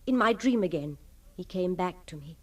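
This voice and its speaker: An actress playing a tender, earnest, devoted gentlewoman in a literary radio drama, with theatrical delivery. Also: lowish voice, animated, normal speed, far from the mic. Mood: earnest, insistent. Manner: hushed to impassioned, theatrical delivery.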